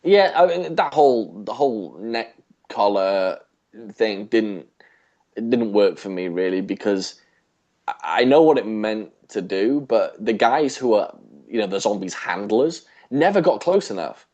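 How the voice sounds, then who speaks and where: A man's voice: on the low side, animated, measured pace, a little mellow, muffled recording. A man co-hosting a podcast, talking casually in hesitant, stop-start phrases. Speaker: podcast co-host. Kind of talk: casual discussion of a TV show.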